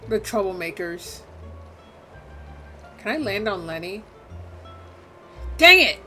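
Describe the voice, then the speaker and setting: A woman's voice: mid-range voice, big pitch swings, slow pace, sharp tone. A woman livestreaming a game, giving casual, blunt and snarky reaction commentary. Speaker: livestream host playing a game. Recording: livestream over game music. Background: game music.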